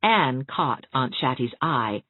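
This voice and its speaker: Husky-voiced woman narrating a novel. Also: extremely low pitch, big pitch swings, slow pace, dry recording. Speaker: audiobook narrator. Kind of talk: scripted narration. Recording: tinny phone-like sound.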